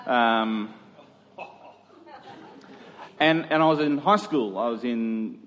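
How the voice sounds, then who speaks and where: A man's voice: mid-range voice, slow, a bit bright, slight background noise. An Australian man giving a sermon in an earnest, conversational way, repeating phrases for emphasis. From Queensland, Australia. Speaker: preacher. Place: large room, preaching to a congregation. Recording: echoey hall with long reverb.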